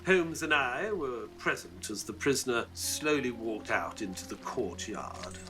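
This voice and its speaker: An actor playing an earnest Victorian gentleman doctor who narrates a case in an audio drama. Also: medium pitch, lively intonation, unhurried, music in the background, lightly acted. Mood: earnest storytelling.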